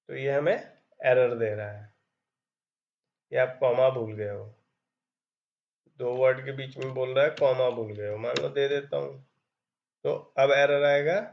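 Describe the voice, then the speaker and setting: A man's voice: fairly high pitch, a little mellow, muffled recording. A man teaching programming step by step, reading code aloud as he types. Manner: explains step by step while typing, reads code aloud. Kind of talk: screen-recorded coding tutorial.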